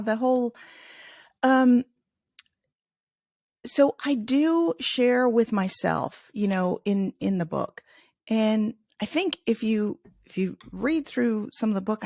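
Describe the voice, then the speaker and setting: A woman's voice: fairly high pitch, creaky, darkish tone, no room sound, clean studio sound. A middle-aged woman from Chicago, a native Spanish speaker with no accent, chatting in a podcast interview with many restarts. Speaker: podcast host and author, interviewed as guest.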